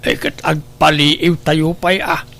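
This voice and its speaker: A man playing a cautious, concerned older father in a radio drama, speaking in a measured, reasoning manner. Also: a little high, little pitch movement, gravelly, pushed and bright, slight background noise, full character voice.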